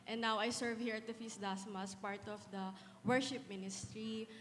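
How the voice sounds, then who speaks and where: A woman in her thirties shares a faith testimony in a fairly high, soft, reflective voice. Her speech mixes English and Filipino. A long hall reverb trails behind her, with faint noise. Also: steady tone, normal speed. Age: 30s. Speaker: guest speaker, solo parent.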